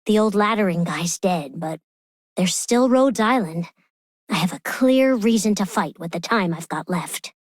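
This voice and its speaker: A woman's voice: medium pitch, expressive, a little slow, no echo, studio quality. An actress playing a resolute, unforgiving female fighter in a game, speaking firmly and with determination. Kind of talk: scripted game character monologue.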